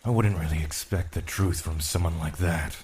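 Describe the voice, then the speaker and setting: An actor playing a blunt, formal non-human attendant in an audio drama, dry and scornful of humans. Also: male voice, low voice, normal speed, darkish tone, lightly acted. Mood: dry suspicion.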